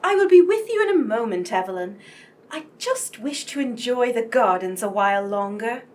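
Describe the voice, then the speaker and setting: Woman playing high, animated, inquisitive lady guest. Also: normal speed, noisy background, close to an everyday voice. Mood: gently probing. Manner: polite, inquisitive. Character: genteel, well-read, inquisitive lady guest at manor. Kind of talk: radio play acting.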